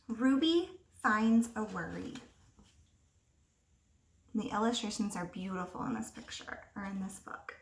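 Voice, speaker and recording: A woman teacher reading a picture book aloud to young children, expressively, pausing to ask them simple questions. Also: on the high side, fairly bright tone, slight background noise. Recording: roomy echo, distant microphone.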